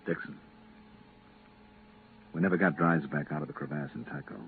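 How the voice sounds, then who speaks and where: An actor playing a weary, blunt veteran at a moon research station, firm and curt, in 1950s radio drama style. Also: male voice, rumbling low, steady tone, moderate pace. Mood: grim. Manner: firm, curt, grimly insistent. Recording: tinny, band-limited, hissy 1950s radio recording.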